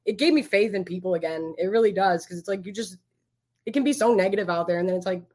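A woman guest chats informally at a quick clip. Her pitch is average for a woman. She is effusive, often saying a phrase again to drive it home. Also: a little mellow. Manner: effusive, repeats phrases for emphasis. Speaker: guest on an online show. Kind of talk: casual chat.

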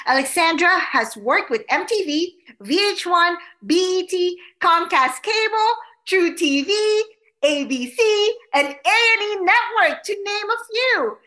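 Woman from the Philippines, podcast host, bubbly and chatty, with a bright tone. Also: very high-pitched. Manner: bubbly, chatty, many fillers. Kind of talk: casual interview.

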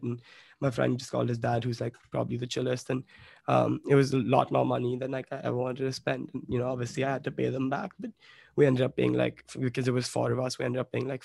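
A man's voice: mid-range voice, gravelly, darkish tone, no room sound. A young man from Bombay telling a story as a podcast guest, rambling and swearing, with lots of "like" fillers. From Bombay, India. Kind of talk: casual storytelling.

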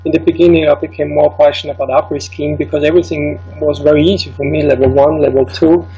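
A man's voice: on the high side, flat delivery, fast talker, mellow, dull audio, soft background music. A middle-aged man with an Austrian accent, German as his first language, telling his life story as an interview guest, with many fillers and restarts. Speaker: ski instructor.

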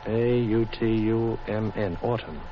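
An actor playing a wisecracking, cool-headed adventurer hero in a 1940s radio drama. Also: male voice, lowish voice, fairly even intonation, measured pace, natural voice. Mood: intent, deducing. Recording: muffled, hissy 1940s radio recording.

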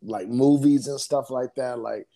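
A man from hosts a podcast, his voice gravelly with fry. He talks slangy and laid-back and often restarts his sentences as he goes. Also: medium pitch, measured pace, warm tone. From Cleveland, Ohio. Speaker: podcast host. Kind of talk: casual interview chat.

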